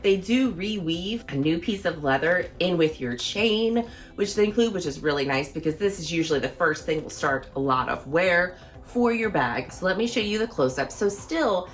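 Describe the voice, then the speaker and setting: Slightly hoarse woman chatting casually. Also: fairly low pitch, expressive, normal speed. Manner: chatty, enthusiastic, conversational. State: slightly hoarse, recovering from losing her voice. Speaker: fashion vlogger. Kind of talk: casual product review vlog.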